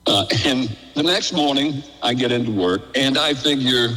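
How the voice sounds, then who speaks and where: Older man telling stories, bright and reverberant. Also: medium pitch, normal speed. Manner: animated retelling, acting out quoted exchanges. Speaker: interview guest, former radio station programmer. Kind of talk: casual anecdotal storytelling.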